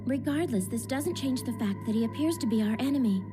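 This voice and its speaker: A woman's voice: fairly high pitch, expressive, brisk, lightly acted. A woman playing a self-assured, analytical teenage girl in an anime dub, reasoning things out assertively. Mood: analytical, suspicious. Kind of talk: anime-style dramatic dub acting. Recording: music behind the voice.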